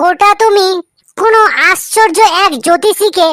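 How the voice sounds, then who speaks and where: Cheeky young nephew in a comedy cartoon, played by a woman: very high, bright, mock-earnest chatterbox. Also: normal speed, a little hiss or hum. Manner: teasing, long-winded mock explanations. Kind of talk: comic cartoon dialogue.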